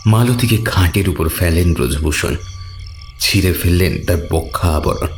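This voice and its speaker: A man reading scripted horror fiction as a narrator, measured and suspenseful. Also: low-pitched, soft background music. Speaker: story narrator.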